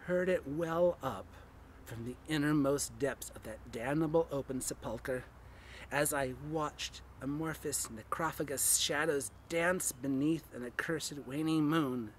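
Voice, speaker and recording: A man's voice: fairly high pitch, unhurried, a little hiss or hum, voice pushed down, natural voice. A man giving a theatrical horror reading as a frantic, haunted man recounting a graveyard ordeal, drawn out and intense. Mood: shaken horror. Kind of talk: dramatic reading of a horror story.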